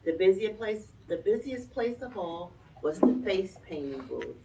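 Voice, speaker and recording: A woman reading a children's story aloud in an expressive way, with chatty asides to the children and parents listening. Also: medium pitch, a little slow. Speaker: library storytime reader. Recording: dull, distant, noisy room recording.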